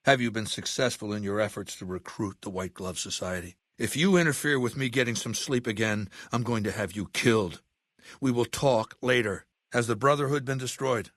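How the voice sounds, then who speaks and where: An actor playing a ruthless, intellectual warlord dictator in a video game, speaking in a commanding, articulate and contemptuous way. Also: male voice, lowish voice, moderate pace, fairly bright tone, dry recording, studio quality. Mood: stern, businesslike. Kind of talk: video game character acting.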